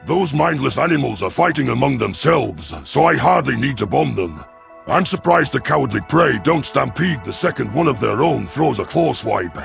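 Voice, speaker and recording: Sci-fi audio story: arrogant young reptile hunter performed by a man, thick vocal gravel, sneering, faint music bed. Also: mid-range voice, lively intonation, normal speed, voice pushed up. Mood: boastful, scornful. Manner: boastful, sneering. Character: young alien reptilian chief hunter, arrogant, taunting brute.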